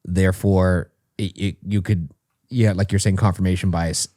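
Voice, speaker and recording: A man co-hosting a comedy podcast, wryly summing things up with deadpan jokes and halting restarts. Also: low-pitched, no room sound, clean studio sound. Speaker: co-host. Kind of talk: casual comedic podcast banter.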